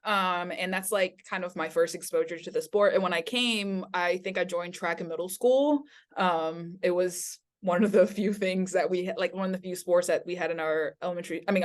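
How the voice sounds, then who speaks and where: A woman in her twenties from Taiwan, a podcast guest talking in a chatty, candid way. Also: lowish voice. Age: 20s. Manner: chatty, candid, laughing easily. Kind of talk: casual interview.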